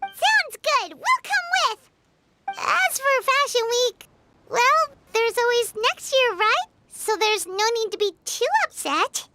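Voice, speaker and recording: A woman voicing a tiny, excitable floating fairy companion who talks about herself in the third person. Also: very high voice, slow pace, full character voice. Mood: cheerful. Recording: game audio over soft music.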